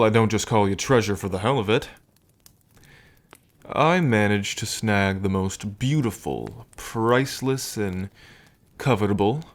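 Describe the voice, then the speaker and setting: A man playing a smitten, teasing dragon king in an intimate ASMR roleplay, with unhurried, murmuring pauses. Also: fairly low pitch, natural voice. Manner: unhurried, murmuring pauses, hums and soft chuckles.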